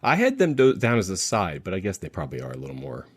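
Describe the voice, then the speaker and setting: A man hosting a casual panel chat, speaking in a rambling, halting way as he thinks aloud. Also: low voice, huge pitch swings, breathy edge. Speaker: podcast host.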